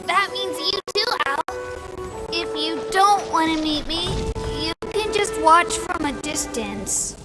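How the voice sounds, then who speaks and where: A very high, innocent little child's voice, earnest and eager, as in a video game. Loud children's music plays behind the words. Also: female voice, lively intonation, slow, exaggerated voice. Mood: earnest, hopeful. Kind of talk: video game character dialogue.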